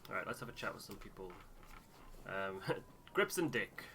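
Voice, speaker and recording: Male gaming co-host, mid-pitched and bright, casual commentary and read-aloud game text, slight background noise. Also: big pitch swings, normal speed.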